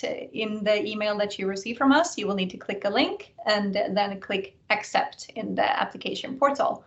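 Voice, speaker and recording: A woman's voice: mid-range voice, a bit bright, highs cut off. A woman from an admissions office answering questions on a video call. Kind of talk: answering questions in an online session. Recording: distant mic on online call.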